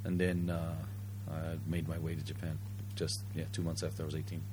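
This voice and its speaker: A Danish-raised man, a guest, telling his story in quick mixed Japanese and English. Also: very deep voice, flat delivery, darkish tone, a little hiss or hum. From Denmark. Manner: rapid, switches between Japanese and English mid-story. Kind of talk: bilingual casual interview.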